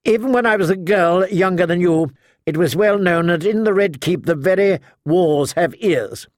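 A man voicing a sharp, imperious old noblewoman in an audiobook, blunt, commanding and mocking. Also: high-pitched, normal speed, no room sound, studio quality, pitched above the performer's own voice, natural voice. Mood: wry, confiding. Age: older.

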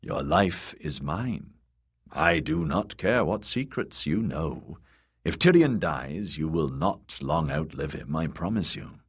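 A man voicing a weary, bitter one-handed knight who speaks low, grim and clipped. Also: very animated, takes it slowly, slightly rough, heavily acted. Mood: menacing. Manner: low, grim and clipped, menacing when threatening.

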